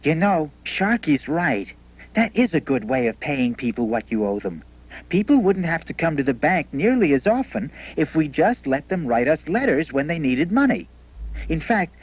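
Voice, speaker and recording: An earnest cartoon banker, musing aloud in a businesslike way. His voice sits fairly high and swings up and down with lively inflection. It has the dull sound of an old educational film soundtrack. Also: slightly rough. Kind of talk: 1970s educational cartoon acting.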